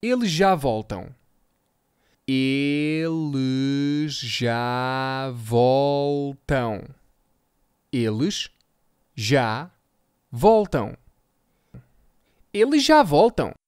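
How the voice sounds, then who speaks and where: Slow, crisp European Portuguese drill voice. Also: mid-range voice, animated, a little mellow, dry recording, clean studio sound. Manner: slow, carefully articulated word-by-word repetition. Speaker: language teacher. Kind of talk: slow repetition drill for learners.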